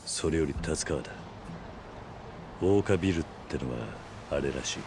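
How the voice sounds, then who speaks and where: An actor playing a stoic, brooding middle-aged ex-yakuza in a video game, speaking tersely and gravely. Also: male voice, extremely low pitch, normal speed, music in the background. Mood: stern. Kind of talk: video game cutscene acting.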